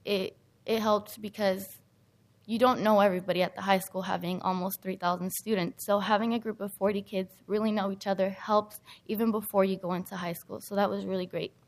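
A teenage young woman, a high school student, giving an earnest prepared speech in public. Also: fairly even intonation, fairly quick. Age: teens.

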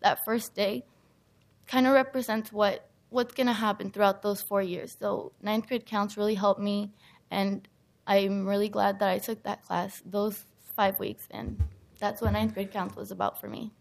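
A teenage young woman, a high school student, giving an earnest prepared speech in public. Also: flat delivery, normal speed, gravelly. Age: teens.

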